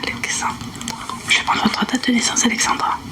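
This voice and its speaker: A woman whispering softly and breathily in an ASMR roleplay as a blunt fortune-teller who repeats phrases slowly. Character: unhinged clairvoyant woman taking phone consultations.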